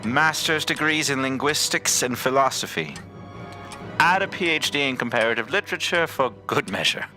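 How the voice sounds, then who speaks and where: Audio drama recruiter, male, played by a man: solemn, deliberate, formal, with music underneath. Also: mid-range voice, expressive, pushed and bright. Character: solemn, determined male recruiter of vampire hunters.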